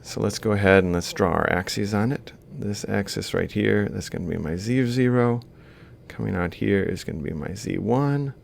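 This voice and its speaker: A low, heavily gravelly man talks through worked examples like a lecturer. His delivery is informal and halting, moving step by step. A faint rumbling noise sits behind the voice. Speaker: university robotics lecturer. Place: screen-recorded lecture voice-over.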